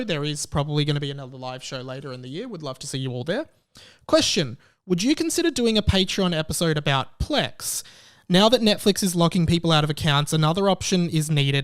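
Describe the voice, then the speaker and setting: An Australian man hosting a talk show on his own, chatting casually in a rambling, conversational way. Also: on the high side. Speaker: podcast host.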